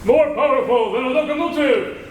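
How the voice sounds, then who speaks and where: Man as earnest vintage radio drama player, very high male pitch, echoey hall, noisy. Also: fairly quick. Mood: proclaiming. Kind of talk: 1940s radio drama acting.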